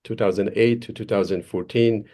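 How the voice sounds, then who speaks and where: A man from Afghanistan with an Afghan accent, telling his prepared personal story as a guest speaker in a formal, halting way. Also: lowish voice, fairly even intonation, warm tone. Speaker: case manager and former interpreter, guest speaker.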